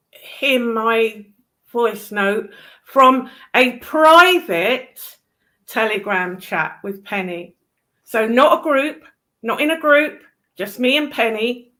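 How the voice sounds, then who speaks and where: A woman's voice: a little high, pushed and bright. A woman chatting casually in an exasperated, emphatic way, with some casual swearing. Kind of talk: casual chat.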